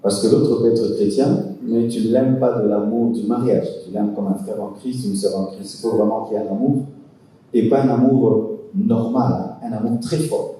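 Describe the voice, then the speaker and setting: A man giving earnest, preaching advice to couples, speaking with emphatic pauses. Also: on the low side, fairly even intonation, dark tone. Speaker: pastor answering couples' questions. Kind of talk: sermon-like advice at a conference. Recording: echoey hall from far off, faint noise.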